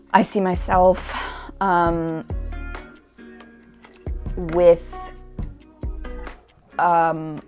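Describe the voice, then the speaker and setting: A woman's voice: on the low side, a little mellow. A woman in her twenties, a guest actor talking casually on a podcast, chatty and full of restarts and fillers. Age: 20s. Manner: chatty, trailing restarts and many likes.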